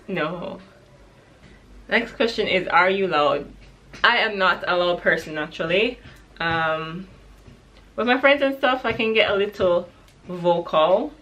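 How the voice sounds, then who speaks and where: A woman's voice: mid-range voice, fairly bright tone. A woman from Jamaica with a Jamaican accent, candidly chatting through a question-and-answer vlog, with easy laughs. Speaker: vlogger.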